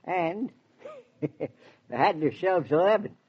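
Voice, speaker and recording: A high-voiced, husky older country fellow with a rural American accent. He rambles with homespun opinions and his pitch swoops about in lively fashion. It is old radio drama. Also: takes it slowly, full character voice. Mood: teasing, sage. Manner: rustic, rambling, homespun philosophizing. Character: folksy, talkative, opinionated country handyman, an older man. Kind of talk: 1940s radio drama acting. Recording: dull vintage 1940s radio recording.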